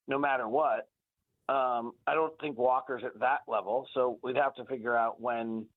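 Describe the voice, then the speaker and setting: A man with a slightly high voice analyzes basketball on a sports radio show. He sounds like he is on a narrow phone connection with no room sound. His delivery is conversational and analytical, with words repeated for emphasis. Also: no room sound. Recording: thin phone-like band-limited line.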